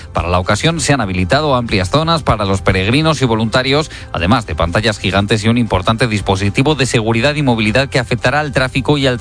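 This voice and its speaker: A man reading a scripted news report in a crisp, even delivery. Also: mid-range voice.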